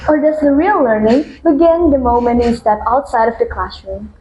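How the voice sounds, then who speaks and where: A woman's voice: a little high, moderate pace, mellow, a little hiss or hum. A woman co-hosting an education podcast, earnest and inquisitive in her questions. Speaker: podcast co-host. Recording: echoey room.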